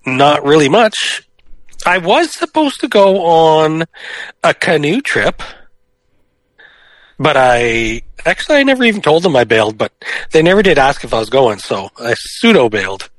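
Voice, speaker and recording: A man's voice: fairly high pitch, big pitch swings, a little slow, fairly bright tone, dry recording, low-quality recording. A man co-hosting a radio show from home on a gaming headset, nasal and congested with a cold, easygoing and laughing a lot. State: stuffed up with a cold. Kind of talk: casual banter, recording remotely.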